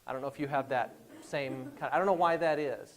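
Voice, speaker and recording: A middle-aged man with an Indiana accent speaks to a class as a guest, telling anecdotes in a relaxed, unhurried way. His voice sits at an ordinary middle pitch. He often hesitates and restarts his sentences. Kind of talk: classroom storytelling talk.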